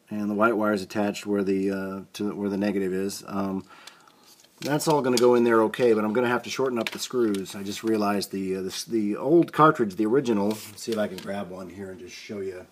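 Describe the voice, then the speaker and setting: A man giving a casual, unscripted hands-on repair demonstration, thinking aloud as he works. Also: lowish voice. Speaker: host of a vintage record player restoration video series.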